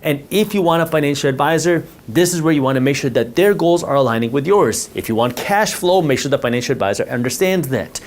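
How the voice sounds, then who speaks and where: A man with a somewhat high voice explains wealth protection at a whiteboard. His first language is Punjabi. He talks with emphasis and repeats words to drive points home. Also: animated, quick pace, hardly any pauses. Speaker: finance educator.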